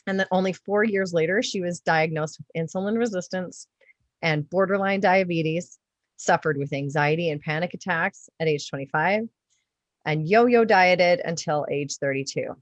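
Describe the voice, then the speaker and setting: A woman's voice: fairly low pitch, normal speed, no room sound. A woman hosting a podcast interview in a casual, enthusiastic and emphatic way. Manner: effusive, emphatic. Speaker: podcast host.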